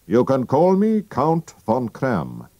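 A man's voice: medium pitch, huge pitch swings, takes it slowly, mellow, no echo, dull audio, pitched above the performer's own voice, exaggerated voice. A man reading a storybook aloud and voicing a proud, anxious king with a formal, stiff and urgent manner. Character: Bohemian king, tall, proud, anxious, middle-aged man.

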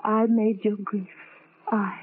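A woman's voice: mid-range voice, little pitch movement, takes it slowly, a little hiss or hum, lightly acted. An actress playing a tender, devoted young woman in love, earnest and emotional, in an old-time radio drama with tinny sound. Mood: pleading, tearful.

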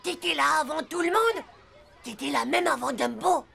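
A woman voicing a hot-headed, slangy schoolboy in a cartoon, with a gravelly voice and indignant, whiny protests. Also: high voice, moderate pace, sharp tone, a faint crowd, slight background noise, full character voice. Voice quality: gravelly, creaky. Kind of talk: animated cartoon dubbing in French.

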